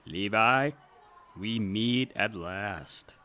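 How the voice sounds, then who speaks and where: Smug conservative activist played by a man, slightly deep voice, pompous and self-righteous, for comic radio drama. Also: very slow, bright, forceful tone, a little hiss or hum, full character voice. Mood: self-important. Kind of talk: satirical radio drama acting.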